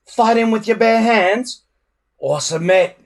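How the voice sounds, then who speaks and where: Storybook boy bully, Viking, voiced by a man: raised pitch, loud, jeering and gloating. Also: slow pace, vocal fry, heavily acted. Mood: sneering. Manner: jeering, crowing.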